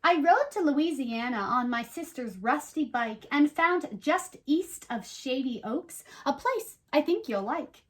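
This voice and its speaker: Woman as a high, perky kids' reading buddy. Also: a little slow, few pauses. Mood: cheerful. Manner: animated, bubbly, chatty. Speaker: co-host reading a picture book aloud. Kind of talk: children's storybook read-aloud with playful asides.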